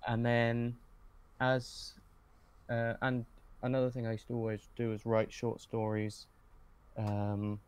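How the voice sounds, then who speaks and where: A young man talking casually as a podcast guest, looking back in a hesitant, low-key way with pauses mid-sentence. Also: completely flat delivery, a little mellow, clear, resonant tone. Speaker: podcast guest, script writer. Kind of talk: casual reminiscing in interview.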